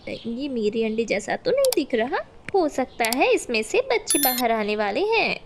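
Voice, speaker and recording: Woman voicing a high, motherly little cartoon sparrow. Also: very animated, moderate pace, a little hiss or hum. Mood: puzzled. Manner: earnest, motherly. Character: small, tender-hearted, protective mother sparrow. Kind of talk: animated children's moral story.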